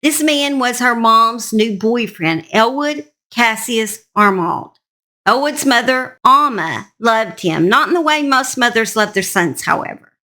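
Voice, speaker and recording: A woman's voice: on the high side, expressive, unhurried, sharp tone. A woman with a Southern US accent, earnestly narrating true crime stories as an online host. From Southern United States. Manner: earnest, serious.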